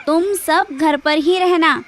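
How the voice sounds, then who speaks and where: A woman's voice: extremely high pitch, slow, a little hiss or hum. A woman voicing a caring mother goat who instructs her kids in a sing-song children's cartoon manner. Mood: instructive. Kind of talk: children's cartoon story acting.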